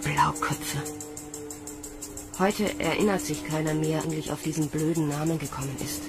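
A rather low-voiced young woman soldier in a science fiction radio play. She is troubled but defiant, and music runs clearly behind her. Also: steady tone, moderate pace. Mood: bitter, intense. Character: troubled, defiant young female space recruit, O'Shea. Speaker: lead actor and narrator of an audio drama.

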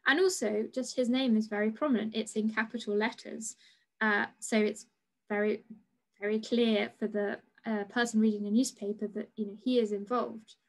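A woman's voice: on the high side, fairly even intonation, moderate pace, creaky. Hesitant young woman giving an online lecture. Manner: hesitant, many fillers and restarts. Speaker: PhD researcher giving a talk. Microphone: video call webcam.

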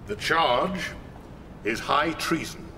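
An English actor playing an imperious senior government man in a radio drama, measured and pompous. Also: fairly high pitch, animated, in a large hall, background noise. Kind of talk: BBC radio drama acting.

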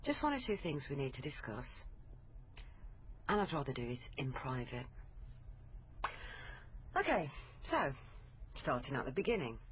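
An actress with a British accent playing a probing, authoritative police liaison officer in a naturalistic radio drama. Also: female voice, very deep voice, big pitch swings, moderate pace, pauses often, highs cut off, slight background noise. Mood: stern, probing. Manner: pointed, interrogating. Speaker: actor in a radio crime drama.

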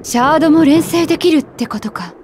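A woman's voice: high voice, lively intonation, a little fast, music in the background. A woman playing a determined, kind young warrior heroine in a Japanese video game, earnest and self-assured. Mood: confident.

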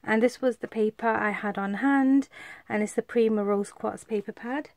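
A woman's voice: fairly high pitch, moderate pace, darkish tone, dry recording. A woman from the UK, a craft vlogger with a stuffy cold, showing her cards in a rambling, chatty way with lots of fillers. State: congested, coming down with a cold. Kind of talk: casual show-and-tell.

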